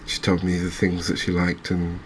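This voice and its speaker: Deep-voiced man, quiet ASMR gaming commentary. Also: monotone, normal speed, a little hiss or hum. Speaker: host of a gaming channel. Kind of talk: soft-spoken ASMR game commentary.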